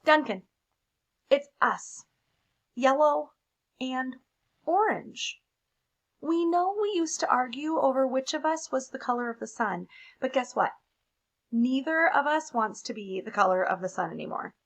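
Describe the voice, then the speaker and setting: A woman's voice: sits high, expressive, measured pace, pauses often, full character voice. A woman voicing a pair of blunt, apologetic melted crayons in a punchy, emphatic storybook read-aloud. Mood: contrite, exasperated. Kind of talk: expressive picture-book read-aloud.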